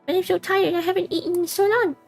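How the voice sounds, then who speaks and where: A squeaky, trembling baby mouse, as a woman puts it on, timid and pleading. The voice sits very high above the reader's own and wavers as it begs. Faint music plays underneath. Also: steady tone, fast, creaky, darkish tone. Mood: frightened. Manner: squeaky, tremulous and breathless.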